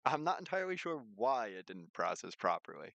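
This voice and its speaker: A man's voice: medium pitch, sing-song, fairly quick, gravelly, fairly bright tone, no echo, highs cut off. A man hosting a game stream with casual, playful chat, often breaking off and restarting his sentences. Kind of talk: casual gaming livestream chat, reading game text aloud.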